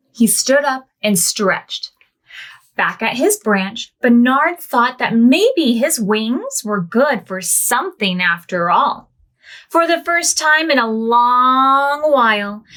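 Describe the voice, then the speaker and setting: A woman reads a picture book to preschoolers at a gentle, unhurried pace. Her voice sits high and swoops up and down as she coaxes the children along. It is the warm sing-song of library storytime.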